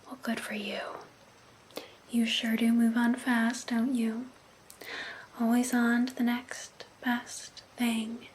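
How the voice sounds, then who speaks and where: A woman playing an obsessed, jealous vampire ex-girlfriend in an ASMR roleplay, speaking in an intimate, breathy, coaxing whisper into a close microphone. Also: on the high side, flat delivery, slight background noise. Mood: jealous, veiled. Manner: slow, intimate, passive-aggressive, coaxing.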